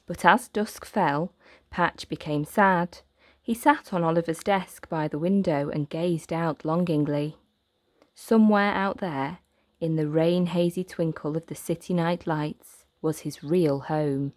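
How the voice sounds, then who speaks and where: A woman reading a children's story aloud as narrator, with gentle, expressive, deliberate phrasing. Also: low-pitched, no room sound.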